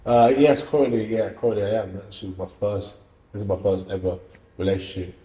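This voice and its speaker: A man's voice: lowish voice, a little fast, roomy sound, over music, low-quality recording. A young man from Russia, an amateur boxer and student, giving earnest, reflective interview answers with many fillers and restarts. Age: young adult.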